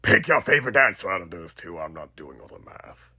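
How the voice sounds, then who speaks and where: A man voicing a sadistic, elderly undead killer animatronic in a horror roleplay, raspy and theatrical, with mocking menace and muttered asides. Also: low-pitched, big pitch swings, a little fast, pushed and bright, heavily acted. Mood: irritated, muttering. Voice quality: raspy, gravelly.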